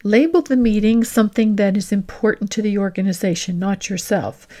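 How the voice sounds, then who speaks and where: Woman, medium pitch, executive coach, podcast host, scripted monologue, deliberate, instructive, direct address. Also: mellow.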